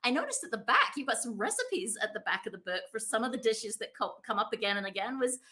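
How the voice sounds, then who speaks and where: A woman's voice: on the high side, sing-song, fast, sharp tone. A woman from Scotland, hosting a casual interview in a chatty, effusive, informal way. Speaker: host, interviewer.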